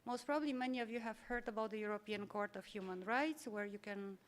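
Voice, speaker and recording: A woman's voice: medium pitch, fast talker. A woman moderating a workshop at a conference, explaining an organization's work in a fluent way with frequent fillers. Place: conference room.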